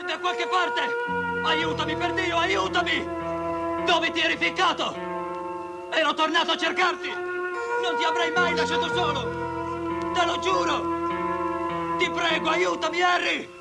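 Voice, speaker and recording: A man playing a panicked captive in a dramatic film dub, shouting, begging and cursing at his companion. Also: extremely high pitch, flat delivery, unhurried, gravelly, long pauses, heavily acted. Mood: frantic pleading. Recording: loud film music underneath.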